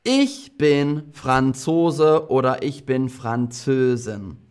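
A man teaching a slow classroom language lesson to a group of students, repeating each word and phrase clearly for learners. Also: mid-range voice, lively intonation, darkish tone. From half German, half British. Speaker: German teacher.

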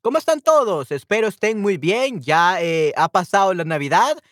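Young salvadoran guy teaching spanish on stream, chatty, dry close sound, kinda high voice. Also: lively intonation, moderate pace, fairly bright tone, no echo. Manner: chatty and friendly, mixes in English asides to himself. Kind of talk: casual live-stream lesson.

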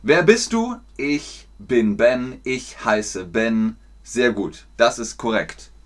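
A man teaching German in a live online lesson, encouraging and clearly articulated. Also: medium pitch, animated, slow pace.

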